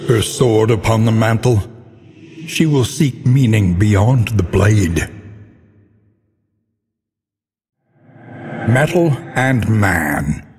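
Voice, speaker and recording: Very deep, grim gothic narrator. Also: male voice, a little mellow, husky, full character voice. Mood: ominous, grave. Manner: grave, solemn, theatrical, measured delivery. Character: grim, ominous male narrator of a dark fantasy game. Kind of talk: dramatic scripted gothic fantasy narration. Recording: long cavernous reverb, faint background noise.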